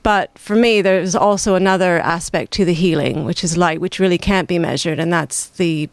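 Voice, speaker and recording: Canadian-accented author, calm and reflective, clean and resonant delivery. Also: mid-range voice, clear, resonant tone, no echo. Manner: measured, reflective. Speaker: author guest. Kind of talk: interview answers.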